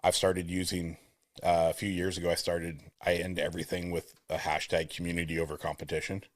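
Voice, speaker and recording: A man with a deep voice hosting a casual podcast interview from home, talking in an earnest, upbeat, rambling way. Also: level tone, a little fast, no room sound, clean studio sound. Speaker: podcast host. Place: home room. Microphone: studio mic with compressor, at home.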